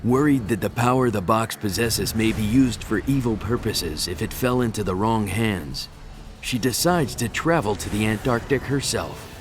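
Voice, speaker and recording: Male game story narrator over music. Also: mid-range voice. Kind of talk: scripted narration.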